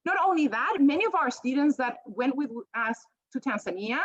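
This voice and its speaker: High-pitched Peruvian-accented woman presenting. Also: lively intonation, moderate pace, no room sound, lo-fi sound. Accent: Peruvian Spanish. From Peru. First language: Spanish. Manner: hesitant, many ums and restarts. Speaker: biology professor. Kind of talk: presentation over slides.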